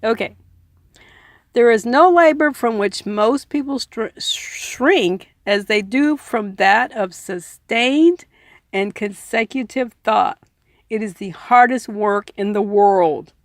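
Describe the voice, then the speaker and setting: A woman reads aloud in an earnest, informal audiobook style. Her voice sits a little high and often breaks into creak. She takes her time, and the recording is close and dry. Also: takes it slowly, no echo. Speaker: reader and commentator. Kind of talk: audiobook reading with informal asides.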